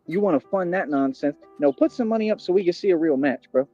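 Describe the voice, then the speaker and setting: Brief: man, bragging and challenging, fairly high voice, lo-fi dry stream clip. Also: lively intonation, fast, dark tone, faint music behind. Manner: boastful, confrontational, repetitive slang. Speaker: livestreamer. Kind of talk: boastful livestream rant. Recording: dull, dry lo-fi stream audio.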